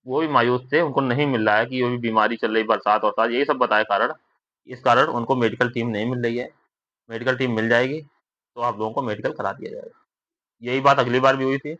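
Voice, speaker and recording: A man's voice: mid-range voice, fairly even intonation, a little fast, sharp tone. A young man giving viewers an informal spoken update, conversational and often checking for agreement with tag questions. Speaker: vlogger giving recruitment updates. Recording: dull lo-fi recording.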